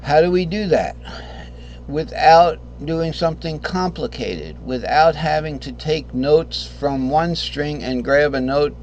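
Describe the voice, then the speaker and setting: Slow-talking hoarse man teaching guitar. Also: on the high side, animated, darkish tone, slight background noise. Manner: rambling, self-correcting, clears throat often. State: hoarse, losing his voice. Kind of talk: casual guitar lesson. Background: guitar playing.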